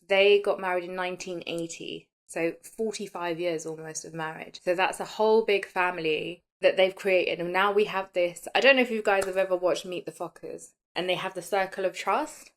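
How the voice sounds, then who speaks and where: A woman's voice: fairly low pitch, normal speed, few pauses. A woman in her late thirties talking casually and candidly as the host of a personal podcast, in a chatty, conversational way. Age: late 30s.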